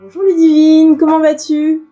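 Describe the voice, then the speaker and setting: A woman hosting a podcast, reading a clear intro and then asking chatty questions with fillers. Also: high-pitched, completely flat delivery, normal speed, dark tone, faint music behind. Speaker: podcast host. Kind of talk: scripted intro, then interviewing.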